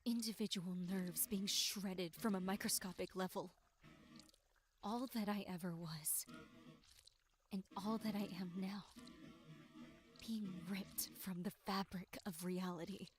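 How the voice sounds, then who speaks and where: A woman voicing a tormented, despairing victim in a horror game monologue, with breathy delivery that slips into whispers. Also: mid-range voice, steady tone, unhurried, pauses often, close to an everyday voice. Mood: agonized dread. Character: tormented, despairing young victim musing bleakly on existence. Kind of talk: dramatic first-person horror game monologue.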